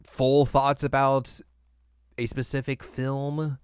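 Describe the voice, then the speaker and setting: A man's voice: medium pitch, monotone, slow, clean tone, no room sound, studio quality. A man hosting a podcast in a casual, chatty style. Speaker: podcast host. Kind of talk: casual chat about video game films.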